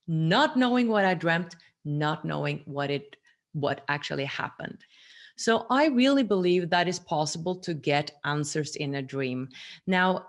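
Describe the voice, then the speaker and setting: Woman in her 40s doing a chatty teaching vlog to cam, bit low-pitched, earnest, talks w her hands, small room at home. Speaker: vlogger. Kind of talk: personal teaching monologue to camera.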